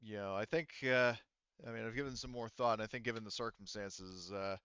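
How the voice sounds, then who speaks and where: A man running a tabletop roleplaying game as game master, explaining things in a halting, rambling way. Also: fairly low pitch, steady tone, fairly bright tone, clear, resonant tone, dry recording, lo-fi sound.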